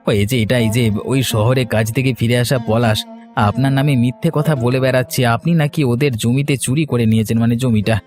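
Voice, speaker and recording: Man as cunning village troublemaker for cartoon drama; insinuating, self-righteous, mid-pitched over faint music. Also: steady tone, a little fast, a little mellow, natural voice. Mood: insinuating, indignant. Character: sly, scheming village man stirring up quarrels. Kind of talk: dramatic cartoon story dialogue.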